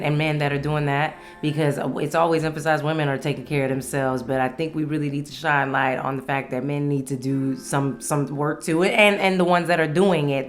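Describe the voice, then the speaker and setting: A low-voiced woman chats casually as a solo podcast host. She is candid and playful and swears freely. Faint music plays behind her. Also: a bit bright.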